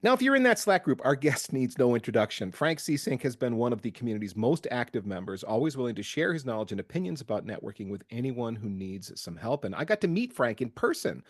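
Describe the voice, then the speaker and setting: Man, podcast host, lively swooping pitch, casual chat, laughs a lot, dry close sound. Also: fast talker, few pauses, dry recording. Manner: animated, laughs often, swings between loud and soft.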